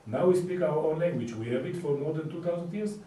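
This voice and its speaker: A man, a Hebrew speaker, answering interview questions in a casual, explanatory way with frequent pauses and filler sounds. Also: on the high side, mellow, slight background noise. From Israel. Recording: echoey room, distant mic.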